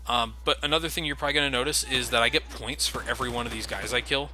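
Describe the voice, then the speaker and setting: A man's voice: medium pitch, steady tone, racing, sharp tone. A man giving casual, sardonic commentary over a video game, swearing offhand and laughing often. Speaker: let's play commentator. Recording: noisy home recording.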